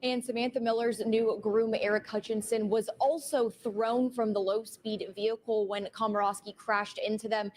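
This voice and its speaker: A woman's voice: on the high side, slight background noise. A woman narrating a scripted television news report as a reporter.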